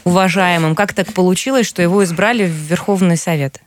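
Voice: medium pitch, a little fast. A radio host politely guiding an interview with questions.

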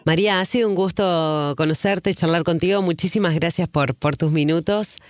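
A woman's voice: on the low side, normal speed, dry recording, studio quality. A woman hosting a program, speaking. She interviews in a chatty way, with many affirming interjections. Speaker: radio program host. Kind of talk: conversational interview.

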